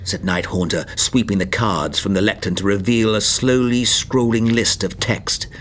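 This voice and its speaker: A man narrating an audiobook in a grave, measured, dramatic scripted style. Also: on the low side, fairly even intonation, slight background noise, natural voice.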